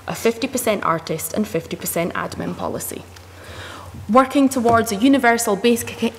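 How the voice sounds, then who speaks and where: 30s woman reading a list at a desk mic, steady and measured, big room with a long echo and a bit of hum. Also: medium pitch. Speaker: panel speaker. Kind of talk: reading a list of proposals aloud. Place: committee room in a parliament building. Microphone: desk microphone. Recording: long reverb with faint room noise.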